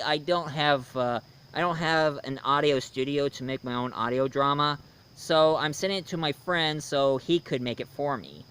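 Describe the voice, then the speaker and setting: Man, thirties, slightly high-pitched, very clear smooth voice, casual video reviewer, halting delivery, repeats himself. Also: a bit bright. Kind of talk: casual unscripted review talk.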